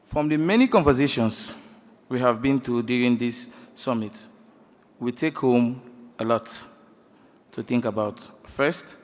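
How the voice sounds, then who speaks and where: A man gives a formal, deliberate ceremonial speech as a government minister. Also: medium pitch. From Sierra Leone. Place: large indoor conference hall. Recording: echoey hall, long reverb from PA.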